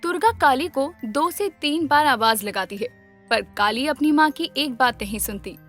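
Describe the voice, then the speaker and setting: High-pitched woman narrating a story. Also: lively intonation, normal speed, a bit bright, faint music behind.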